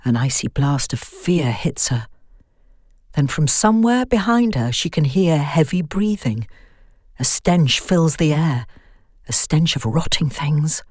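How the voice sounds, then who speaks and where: A woman reading a scripted horror story as its narrator, measured and suspenseful. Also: low voice, animated, a little mellow, husky, no echo, clean studio sound.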